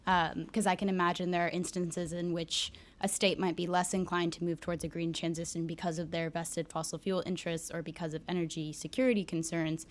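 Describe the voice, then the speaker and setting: A woman's voice: on the low side, flat delivery, a little fast, hardly any pauses. A woman asking a friendly, tentative question after a lecture, full of hedges. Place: lecture room.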